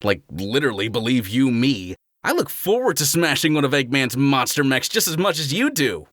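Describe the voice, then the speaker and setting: A man's voice: medium pitch, sing-song, moderate pace, fairly bright tone, no room sound, clean studio sound. A man playing a cocky, goofy young blue hedgehog hero with slangy, laid-back delivery in a fan audio drama. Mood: easygoing.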